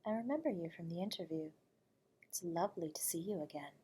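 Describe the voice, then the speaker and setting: A woman playing an eerie, nocturnal horror radio host from beyond the grave, speaking to the listener in a soft, breathy, whispery voice, courteous and teasing, with frequent chuckles. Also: fairly low pitch, animated, fast. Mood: silky, teasing. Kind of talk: audio roleplay addressing the listener.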